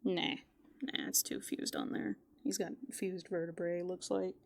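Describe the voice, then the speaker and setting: A soft-spoken woman doing rambling, playful ASMR as a raccoon, musing aloud and often slipping into whispers. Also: lowish voice, level tone, measured pace, gravelly. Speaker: ASMR host. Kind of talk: furry roleplay ASMR, improvised rambling.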